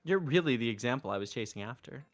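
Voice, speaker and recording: A man's voice: medium pitch, expressive, quick pace, faint music behind. A man streaming a game, reading a visual novel's narration and dialogue aloud into a close mic.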